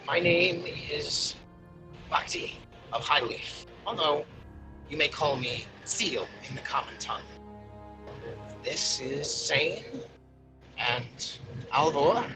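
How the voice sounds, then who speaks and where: A man playing a solemn, courteous monkey-folk monk in a tabletop game, speaking in a measured, formal way. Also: fairly high pitch, loud music behind the voice, poor audio. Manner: measured, formal, deliberate introductions.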